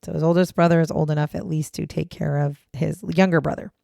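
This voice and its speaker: Hoarse, gravelly woman, low and dark. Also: fairly even intonation, fairly quick, no room sound, clean studio sound. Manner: chatty, blunt, casual swearing. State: hoarse, raspy voice after choking on water. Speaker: podcast co-host. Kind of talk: casual true-crime podcast chat.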